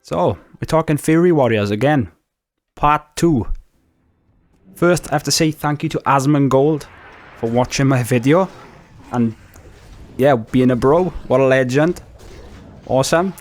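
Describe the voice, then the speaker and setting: A man's voice: mid-range voice, a little slow, pauses often, a little mellow. A Welsh man giving casual, chatty game commentary, explaining details with fillers and the odd swear word. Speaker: gaming YouTuber.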